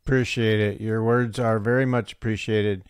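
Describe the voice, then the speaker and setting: Smooth-voiced upbeat podcast host. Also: male voice, lowish voice, fairly even intonation, normal speed, dry recording. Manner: upbeat, jokey introductions, brief affirmations. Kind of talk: interview podcast hosting. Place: home studio. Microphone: studio mic on boom arm.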